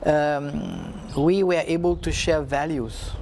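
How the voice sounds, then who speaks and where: A man speaking slowly and reflectively, with frequent fillers and halting, non-native phrasing. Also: on the high side, expressive, gravelly, darkish tone, a little hiss or hum. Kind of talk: reflective speech.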